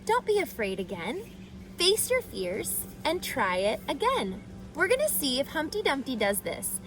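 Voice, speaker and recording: Slow, expressive woman reading to children. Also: high-pitched, over music. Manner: expressive, deliberate, addresses child listeners directly. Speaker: read-aloud storyteller for children. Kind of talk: children's picture book read-aloud with questions to listeners.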